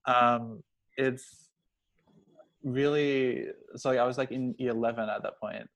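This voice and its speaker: A man's voice: mid-range voice, steady tone, a little slow, a bit bright. Hesitant young man in casual conversation. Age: young adult. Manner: hesitant, rambling, heavy use of "like".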